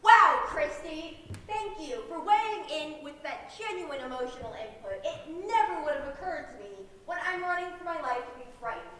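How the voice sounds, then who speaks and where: A woman's voice: very high voice, lively intonation, normal speed, few pauses, fairly bright tone. A woman playing a snippy, sarcastic young zombie survivor who scolds others in a comedic stage play. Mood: sarcastic scolding. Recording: echoey room, distant mic, faint noise.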